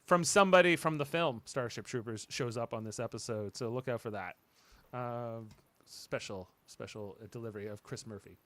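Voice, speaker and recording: A man's voice: medium pitch, moderate pace, a bit bright. A man hosting a casual panel podcast, reading out show announcements in a rambling, hesitant way that often trails off. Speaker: podcast host.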